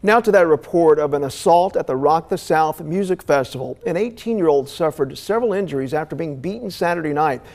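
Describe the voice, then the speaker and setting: An American man anchors morning television news. His voice sits a little higher than most men's. He delivers stories and handles live interviews in a broadcast news style. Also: animated, fairly quick, few pauses, darkish tone, soft background music.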